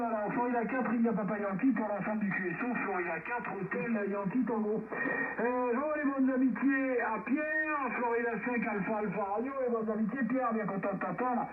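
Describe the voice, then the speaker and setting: A man's voice: sits high, narrow, tinny audio. A man chatting casually as an amateur radio operator, friendly and rambling, heard over two-way radio. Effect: two-way radio transmission. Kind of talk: casual radio round-table chat. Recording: noisy, echoey, band-limited shortwave radio reception.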